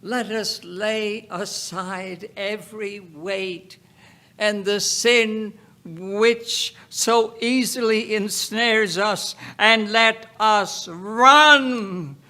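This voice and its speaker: A man reading scripture aloud in a solemn, dramatic style, deliberate and emphatic, breaking the text into short phrases with pauses. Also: high voice, fairly even intonation, sharp tone. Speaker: Bible reader.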